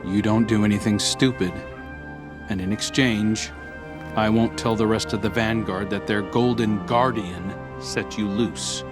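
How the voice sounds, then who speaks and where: A man acts a weary commander in a game cutscene. His voice is low and unhurried, with dry, plain-spoken understatement. Loud music runs beneath him. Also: fairly even intonation, natural voice. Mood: wary, measured. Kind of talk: video game cutscene acting.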